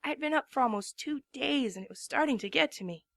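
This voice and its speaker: A woman's voice: sits high, animated, quick pace, gravelly, a bit bright, no room sound, full character voice. A woman voicing a tired young female pegasus who narrates her own story in the first person with a drowsy, worn-out delivery. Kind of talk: first-person narration in a fan dramatic reading.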